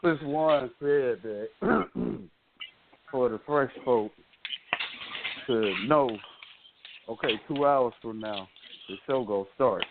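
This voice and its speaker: Slow-paced man on a very noisy line. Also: mid-range voice. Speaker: radio show host. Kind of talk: casual talk show chat. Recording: very noisy phone-like line with clicks.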